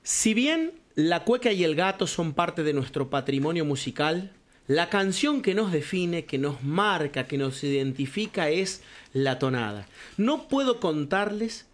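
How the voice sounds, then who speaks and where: Older man, high voice, storytelling radio columnist who says compadre. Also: expressive, unhurried. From Cuyo, Argentina. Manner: warm storytelling, addresses listener as compadre. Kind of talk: reflective spoken radio column.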